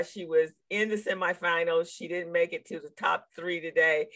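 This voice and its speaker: A woman co-hosting a live community briefing over a video call, with a hoarse, gravelly voice from overwork and an animated manner that breaks into laughter between remarks. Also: fairly low pitch, poor audio. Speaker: co-host.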